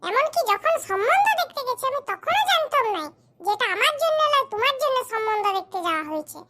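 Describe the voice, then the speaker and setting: A performer voicing a squeaky, indignant young man in a comedy cartoon, bickering. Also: sing-song, quick pace, very noisy. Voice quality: squeaky, artificially high voice. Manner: scolding, bickering, rhetorical questions. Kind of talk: exaggerated comic cartoon dialogue in rural dialect.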